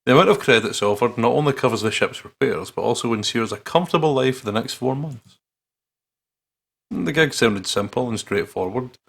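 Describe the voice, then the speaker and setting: A man with a husky, gravelly voice playing a visual novel, reading the text aloud and joking in a playful way. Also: fairly low pitch, fairly bright tone. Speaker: let's play host reading the game aloud. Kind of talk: dramatic read-aloud with joking commentary.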